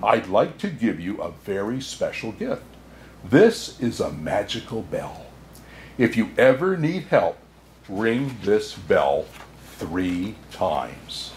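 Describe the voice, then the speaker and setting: A man playing a kindly, jolly old Santa Claus, reading a children's storybook aloud in an expressive way. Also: fairly low pitch, slight background noise, full character voice. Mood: tender, grateful. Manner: unhurried, chuckling asides, expressive storytelling.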